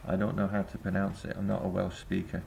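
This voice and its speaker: A man's voice: low voice, monotone, fast talker, gravelly, a little mellow, slight background noise. A man giving a reflective spoken essay to camera as a vlogger, rambling and hesitant with many filler sounds. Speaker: vlogger and busking singer.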